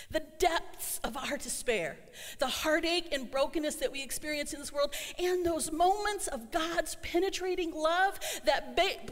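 A middle-aged woman pastor delivering an earnest sermon, slowing down to stress her refrains, recorded in a church sanctuary. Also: high-pitched. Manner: earnest, slows for emphasis on refrains. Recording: long church hall reverb.

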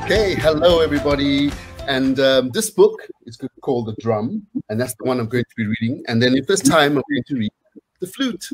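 A man's voice: mid-range voice, lively intonation, a little fast, breathy edge, faint music behind, low-quality recording. A middle-aged man reading picture books aloud to children, chatting casually and encouraging them to join in. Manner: encouraging, inviting listeners to join in. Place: indoors, small home room.

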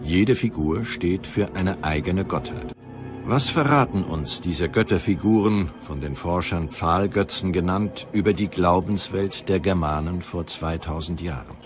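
A man's voice: low-pitched, measured pace. A man narrating a documentary from a script. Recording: music behind the voice.

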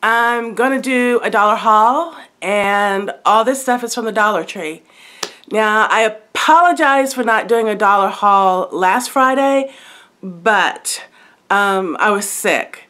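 A middle-aged woman with a stuffy head cold chatting through a shopping haul in her living room, unhurried and full of asides. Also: medium pitch, bright, forceful tone. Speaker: haul vlogger. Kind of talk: casual show-and-tell chat. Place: living room by a brick fireplace, indoors.

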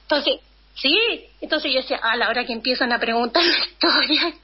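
A woman in her fifties from Chile, a guest telling her life story in a relaxed conversation, acting out scenes from her childhood. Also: sits high, brisk, breathy edge, highs cut off. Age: 50s. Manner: animated storytelling, acts out her younger self. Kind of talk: casual interview conversation.

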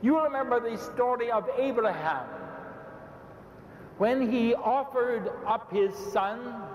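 A man's voice: very high voice, slow pace. An older man, a bishop, delivering an impassioned debate speech from a podium on a large indoor arena stage, rousing and emphatic, repeating phrases for effect. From Canada. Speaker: bishop debating at a public event. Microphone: podium microphone, speaker moving around it. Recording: echoey arena PA sound with faint hum.

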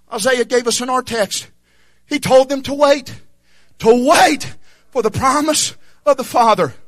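A young man preaching a fervent revival sermon, shouting and half-singing his lines with calls of amen and hallelujah. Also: extremely high pitch. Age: young adult.

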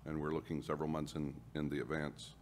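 A man on a city council speaks deliberately and explains his reasoning. His voice is very deep. Faint room noise sits behind him. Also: level tone, darkish tone. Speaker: council member. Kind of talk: public meeting discussion.

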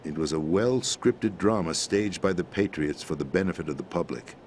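An actor playing a middle-aged president in a video game, explaining a conspiracy in a measured, grave manner. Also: male voice, low voice, darkish tone, a little hiss or hum. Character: calm, grave middle-aged man, president briefing via codec. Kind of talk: video game cutscene voice acting.

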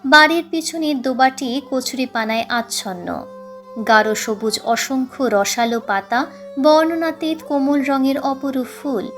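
A high-pitched woman reads a literary tale aloud. Her delivery is measured and dramatic, like an audiobook storyteller, with music behind her. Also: fairly bright tone. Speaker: audiobook narrator. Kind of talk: dramatic scripted story narration.

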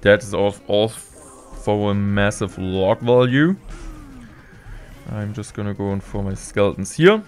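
A young man streaming gameplay from a room indoors, talking through each move in steady play-by-play with excited bursts at big plays, a little sick. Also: fairly low pitch, measured pace, long pauses, faint music behind. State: slightly sick. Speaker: game streamer. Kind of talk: live gameplay commentary.

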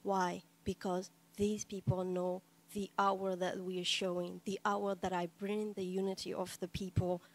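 A woman artist at a roundtable in a gallery room, speaking English that is not her first language. She talks earnestly, in halting phrases, on a flat, even pitch. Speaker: panelist artist. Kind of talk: roundtable discussion remarks.

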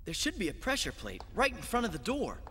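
A woman voicing an earnest, guarded teenage boy space cadet in an animated film dub, often exasperated. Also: medium pitch, fairly quick, slight background noise. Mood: matter-of-fact. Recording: reverberant soundtrack with faint music.

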